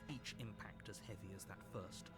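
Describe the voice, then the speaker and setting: A man playing an unseen game narrator, grave, measured second-person storytelling, low voice, game music playing behind. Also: close to an everyday voice. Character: unseen male narrator guiding the player.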